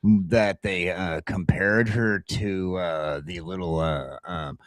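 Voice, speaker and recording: Deep-voiced man in casual talk, dry sound. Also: steady tone, takes it slowly, dry recording. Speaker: talk show co-host. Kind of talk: casual chat.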